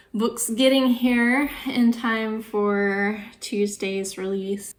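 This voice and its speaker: Sick woman with a gravelly voice. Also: medium pitch, no room sound. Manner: rambling, thinking aloud with many likes. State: sick, voice going, congested. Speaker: author vlogging her writing process. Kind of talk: casual vlog chat.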